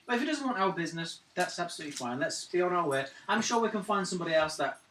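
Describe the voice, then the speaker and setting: A man's voice: high voice, big pitch swings, rapid-fire. A man playing a tabletop role-playing game, haggling and teasing in a dry way. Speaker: player. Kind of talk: casual play at a game table. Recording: noisy roomy recording from a distance.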